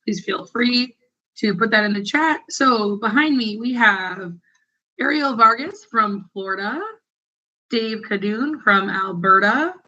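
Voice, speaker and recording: Bright woman hosting an event. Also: mid-range voice. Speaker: event host. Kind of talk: event introduction. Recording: dull lo-fi sound.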